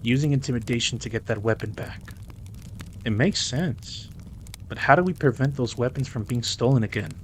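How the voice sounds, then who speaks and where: A man acting as a dominant, cocky mafia boss in an intimate audio roleplay, smooth-talking, teasing and possessive. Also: medium pitch, moderate pace, slight background noise, natural voice. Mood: confident, plotting.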